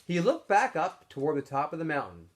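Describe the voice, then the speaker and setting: A man reading a story aloud like a teacher to students, in expressive narration. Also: on the high side, fairly quick.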